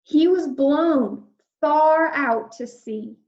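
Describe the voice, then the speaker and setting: A woman reading a picture book aloud to young children, expressively, with simple explanations along the way. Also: sits high. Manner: slow, simple explaining addressed to young listeners. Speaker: read-aloud storyteller, teacher of young children. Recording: roomy echo, slightly dull sound.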